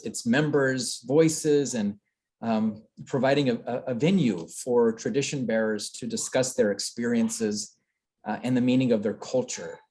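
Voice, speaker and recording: A man with a medium-pitched voice gives a semi-formal welcome speech as a committee co-chair. He is earnest and halting, often doubling back on his words as he thanks and invites listeners. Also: a little mellow. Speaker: committee co-chair introducing event.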